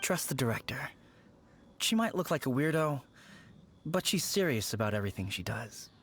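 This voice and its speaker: A man voicing a calm, dignified consultant in a video game, speaking in a measured, reassuring way. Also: medium pitch, breathy edge.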